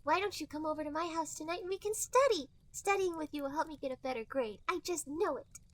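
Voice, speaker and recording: A woman's voice: sits high, fast talker, no echo, lightly acted. A woman voicing sweet, eager young cartoon animal schoolkids in a comedic fan fiction reading.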